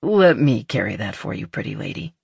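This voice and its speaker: A woman voicing a laid-back, gently teasing man in love for an audiobook romance. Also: very deep voice, sing-song, brisk, gravelly, breathy edge, no room sound, studio quality, pitched below the performer's own voice, heavily acted. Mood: affectionate. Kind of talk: audiobook character dialogue.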